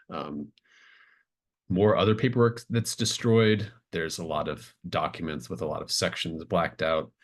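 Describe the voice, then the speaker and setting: A man running a tabletop roleplaying game, narrating off the cuff in a halting way as he thinks aloud. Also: very deep voice, vocal fry. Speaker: game master.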